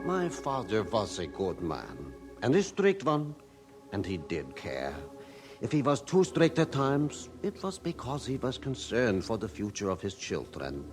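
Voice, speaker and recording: An actor playing an older reformer narrating his own life story in a radio drama, measured and reflective. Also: on the low side, wildly swooping pitch. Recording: music under narration.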